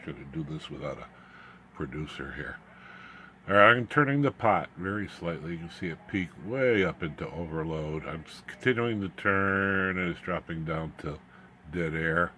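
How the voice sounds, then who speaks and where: A man giving an informal, unscripted electronics demonstration, haltingly, muttering asides as he works with his hands. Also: deep voice, animated, bright, forceful tone, a little hiss or hum. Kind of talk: informal unscripted technical show-and-tell.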